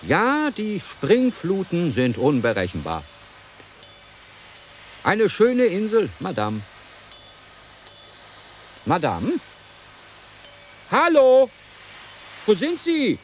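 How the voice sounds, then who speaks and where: An actor playing a gallant, courteous sea captain in a radio play, theatrical and expressive in his speech. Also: high-pitched, drawn-out words, pauses often, a little hiss or hum, exaggerated voice. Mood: courteous, concerned. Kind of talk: German radio play acting.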